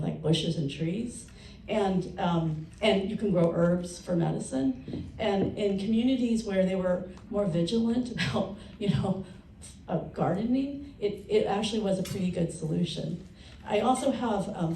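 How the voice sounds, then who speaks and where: Creaky, mellow woman author on a panel. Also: mid-range voice. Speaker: panelist, children's book author. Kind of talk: explaining to an audience at a panel. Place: panel session in a room. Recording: roomy, distant mic, faint noise.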